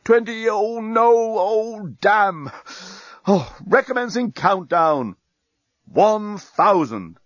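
A man's voice: high voice, animated, slow, fairly bright tone, no echo, lo-fi sound, pitched above the performer's own voice, exaggerated voice. A man voicing a flustered talking bomb that moans plaintively and muddles its own countdown in an audiobook. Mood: flustered moaning. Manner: plaintive, muddled, self-correcting.

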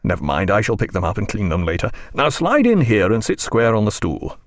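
A man voicing a bluff naval captain who talks in a brisk, fussing, instructive way. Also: on the low side, huge pitch swings, dry recording, clean studio sound. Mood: fussy. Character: bluff, fussy naval captain fond of gadgets.

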